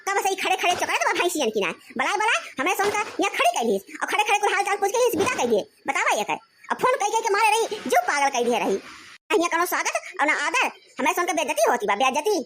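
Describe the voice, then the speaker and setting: Woman playing a very shrill, indignant Awadhi elder sister. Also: big pitch swings, fast talker, a bit bright, slight background noise, exaggerated voice. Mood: indignant scolding. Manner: scolding, complaining, rhetorical questions. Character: bossy, easily offended elder sister visiting as guest. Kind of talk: rural family comedy cartoon.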